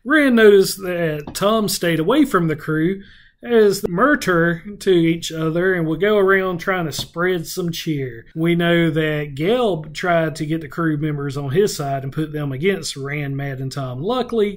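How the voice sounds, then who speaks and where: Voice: medium pitch, unhurried. A podcast host recapping a fantasy novel in a casual read-along chat. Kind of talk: book recap with casual banter.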